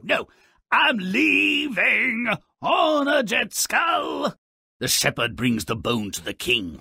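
Video game role: morbid dwarf storyteller, wildly swooping delivery, gravelly and mocking, relishing every gruesome word. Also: male voice, on the high side, pushed and bright, dry recording, clean studio sound, exaggerated voice. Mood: excited, manic, then darkly sardonic. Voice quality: raspy, gravelly. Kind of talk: theatrical scripted video game narration.

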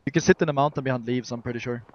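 A man's voice: mid-range voice, rapid-fire, muffled recording. A man playing as an esports team player, giving casual, urgent in-game callouts and often repeating short phrases. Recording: game voice chat over gunfire. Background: gunfire and game sounds.

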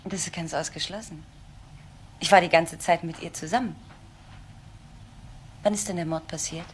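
An actress playing an earnest, idealistic social worker in a crime radio drama, protective and insistent. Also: female voice, on the low side, steady tone, normal speed, slight background noise, natural voice. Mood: firm, defensive.

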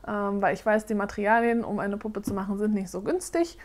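A woman in her thirties from Germany, chatting casually on camera in a question-and-answer vlog and often pausing with fillers as she searches for words. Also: mid-range voice, steady tone, darkish tone. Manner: chatty, hesitant with frequent fillers. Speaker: doll-making vlogger. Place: living room, seated on a sofa.

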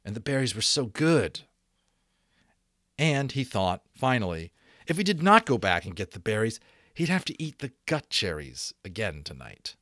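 A man in his thirties narrates an audiobook with very lively, swooping intonation. He leans on key words and pauses deliberately for drama. The sound is close and dry. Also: lowish voice, no room sound. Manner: expressive, deliberate pauses and stressed repeats. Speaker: audiobook reader. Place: small room at home. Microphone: desk microphone close in front.

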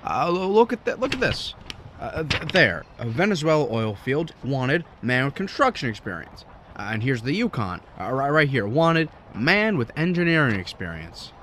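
An actor playing an earnest, impassioned young small-town man in a radio drama, stammering and halting with emphatic delivery. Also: mid-range voice, very animated, a bit bright, slight background noise. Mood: eager, dreamy.

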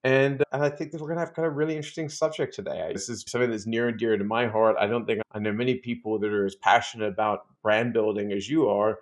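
Low-voiced middle-aged podcast host. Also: male voice, steady tone, fast, few pauses. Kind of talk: casual interview chat and scripted intro. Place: home office with bookshelves.

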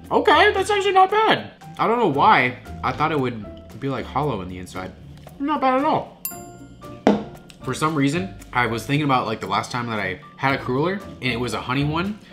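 Man in his thirties, congested and nasal, casual food-vlog chatter at the kitchen table over music. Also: medium pitch. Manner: chatty, animated, with frequent restarts. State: nasal from a cold. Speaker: food vlogger. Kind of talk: casual snack review to camera. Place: home kitchen, indoors.

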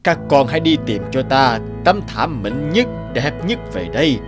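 A man voicing an aging, authoritative king with a deliberate regal manner in a cartoon. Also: medium pitch, big pitch swings, over music, close to an everyday voice. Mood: resolute. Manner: slow, regal.

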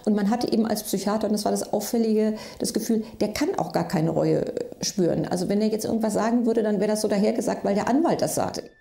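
A middle-aged woman reflects haltingly as an interviewed expert. Her voice is dark and soft-edged with creak. The room is audibly live, and faint music plays behind her. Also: mid-range voice, reverberant. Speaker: forensic psychiatrist, interviewee. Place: book-lined office.

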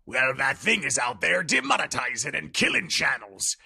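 A man playing an angry, greedy corporate boss in a comic dub, with a rough, gravelly voice. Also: mid-range voice, big pitch swings, moderate pace, sharp tone, heavily acted. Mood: angry, scolding. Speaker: voice actor.

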